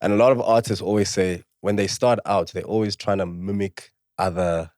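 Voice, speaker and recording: Male host, low-pitched, playful interviewer, close dry sound. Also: fairly even intonation, normal speed, darkish tone, no echo. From South Africa. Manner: playful, teasing, encouraging. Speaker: podcast host. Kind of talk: casual interview.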